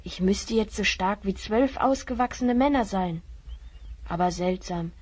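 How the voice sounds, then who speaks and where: A woman's voice: mid-range voice, measured pace, darkish tone, breathy edge, no echo. A woman playing an earnest young boy hero stranded in a fantasy world, in a children's audio drama. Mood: pensive.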